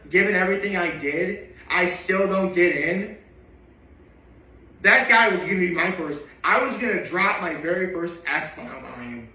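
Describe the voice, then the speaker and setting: A man's voice: sits high, sharp tone, a little hiss or hum. Young male tenor vlogger, rambly and chatty, mic a bit far off in a roomy space. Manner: chatty and rambling, with many asides. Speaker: daily vlogger. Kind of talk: casual reaction vlog monologue.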